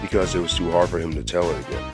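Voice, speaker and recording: A man's voice: low-pitched, racing, music in the background. A man playing a big macho jock ape with a laid-back, boastful manner in a cartoon audio drama. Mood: easygoing.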